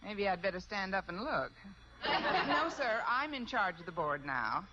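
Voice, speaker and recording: The recording is muffled and noisy, like an old radio comedy. a quick-witted American woman teacher with a swooping, expressive delivery. She tosses off dry, sarcastic wisecracks. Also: medium pitch. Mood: flustered, wry. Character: wry, quick-witted female high school English teacher. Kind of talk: 1950s American radio sitcom acting. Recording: dull old radio recording, clear noise.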